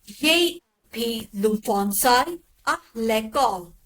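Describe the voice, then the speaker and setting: A woman modelling sentences for a language lesson, enunciating each phrase clearly and evenly. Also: a little high, animated, slow pace, fairly bright tone. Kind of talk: slow model repetitions of sentences.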